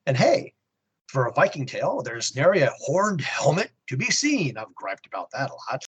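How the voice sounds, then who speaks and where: A man co-hosting a podcast, giving prepared comic-book review commentary in a husky voice. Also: medium pitch, huge pitch swings, moderate pace, fairly bright tone. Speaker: podcast co-host.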